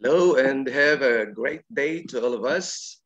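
A man with a Filipino accent giving formal ceremonial greetings as an education supervisor, deliberately announcing names and titles. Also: mid-range voice, dry recording. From Philippines. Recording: dull lo-fi audio.

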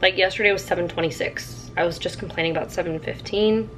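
Twenties woman, casual upbeat vlogger, lowish voice slightly worn from illness, handheld camera mic, faint room noise. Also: steady tone, fast, a bit bright, rough recording. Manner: chatty, upbeat, thinking aloud. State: recovering voice after being sick. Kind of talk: casual vlog chat to camera. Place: apartment bedroom and kitchen, indoors.

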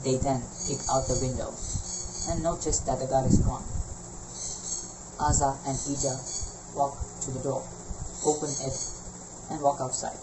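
A man's voice: mid-range voice, little pitch movement, unhurried, pauses often, very noisy. A young man reading scripted story narration for a reader's theater piece. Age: young adult. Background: music with night insects.

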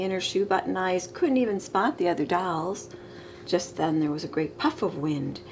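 A middle-aged woman reading a children's picture book aloud with expressive, emphasized storybook delivery. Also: on the low side. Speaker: storytime reader. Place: living room, indoors. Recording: clear background room noise.

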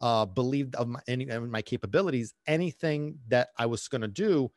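A man's voice: medium pitch, fairly quick, dry recording, studio quality. A man, telling his own story on his podcast, rambling with many restarts and fillers. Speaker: podcast host, dog trainer. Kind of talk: casual personal storytelling. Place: small home room. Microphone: studio mic on boom, headphones.

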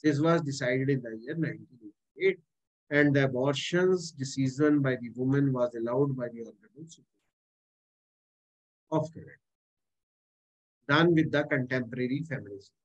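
A man's voice: mid-range voice, moderate pace, long pauses. A man with an Indian English accent teaching an exam-prep law lecture, stressing and repeating key points and dates. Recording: dull lo-fi recording.

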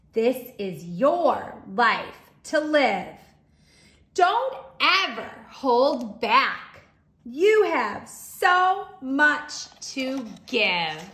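High-pitched, animated woman reading to children. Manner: bright, deliberate, expressive delivery for young children. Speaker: children's teacher and read-aloud host. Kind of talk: children's storybook read-aloud. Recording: roomy, echoing indoor sound.

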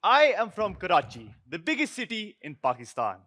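A man's voice: high voice, wildly swooping pitch, moderate pace, bright, forceful tone, heavily acted. An actor playing a boastful, tenacious male squash champion from Pakistan, declaiming theatrically in a cocky, emphatic manner. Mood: proud. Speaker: actor in a stage show about squash. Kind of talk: theatrical stage performance.

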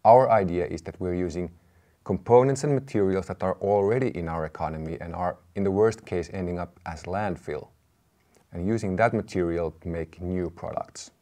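A man in his thirties giving a measured, explanatory product presentation to camera. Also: low-pitched, warm tone. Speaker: presenter, company representative. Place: indoor room with brick wall, seated at a table.

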